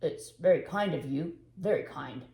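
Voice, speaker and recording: A woman voicing a gruff, humble old male road sweeper in a storybook reading. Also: deep voice, animated, normal speed, dark tone, echoey room. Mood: grateful. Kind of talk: storybook character voice.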